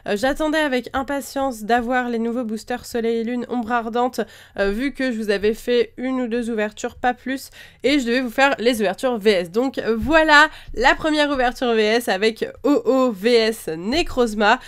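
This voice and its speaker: Woman with a head cold, chatty and high-pitched. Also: moderate pace, smooth. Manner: chatty, lists items one after another, frequent "euh" fillers. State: slightly congested from a cold. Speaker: card-opening video host. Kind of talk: casual chat while opening trading card packs.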